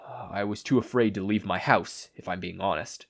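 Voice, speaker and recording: A man acts out a traumatized older hero from a story. He speaks in dramatic, halting bursts, shaky and full of wounded dread. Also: low-pitched, gravelly, a bit bright, exaggerated voice. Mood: shaken, fearful.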